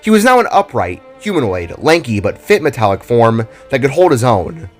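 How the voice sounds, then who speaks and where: A man's voice: medium pitch, sing-song, normal speed. A man narrating a scripted dramatic story in a theatrical, storybook manner. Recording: music under the voice. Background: background music.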